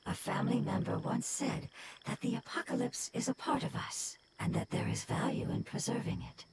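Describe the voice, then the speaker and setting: A woman voicing a calm, wise young goddess who explains things gently and evenly. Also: fairly high pitch, very noisy, lightly acted. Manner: soft, measured, gentle explaining. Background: loud game music.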